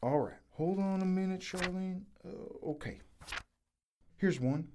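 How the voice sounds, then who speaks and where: Man acting a mellow, long-suffering guy. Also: sits high, measured pace, slight background noise. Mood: weary, wry. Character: long-suffering, easygoing Southern man at a ball field. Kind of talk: audio drama acting.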